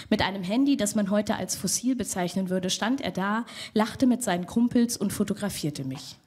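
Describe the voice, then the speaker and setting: A young woman with a cold tells a personal story on a theater stage, speaking into a stand mic. Her voice is husky, and her tone is frank and wry, like conversation. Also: mid-range voice, darkish tone. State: has a cold. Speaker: poet. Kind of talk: spoken-word storytelling.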